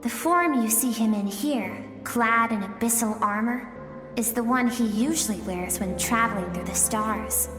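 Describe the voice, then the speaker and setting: A woman playing a wistful, mysterious guide in a video game, telling stories in a gentle, confiding manner. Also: fairly high pitch, natural voice. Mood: confiding, awed. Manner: gentle, unhurried, confiding storytelling. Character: wistful, mysterious female guide through another's memories. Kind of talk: dramatic game narration. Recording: echoey long reverb, background music.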